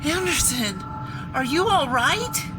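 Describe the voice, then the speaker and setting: High-pitched urgent woman scientist. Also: sing-song, slow, music in the background, heavily acted. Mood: alarmed concern. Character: tense, sharp female scientist, urgent and skeptical. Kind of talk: audio drama acting. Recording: loud background ambience under voice.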